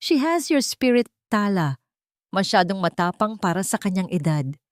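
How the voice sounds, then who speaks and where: A woman's voice: mid-range voice, sing-song, normal speed, dry recording, studio quality, close to an everyday voice. A woman with a Filipino accent voicing a protective, affectionate husband in a romance audiobook, with an earnest manner. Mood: fondly teasing. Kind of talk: audiobook character dialogue.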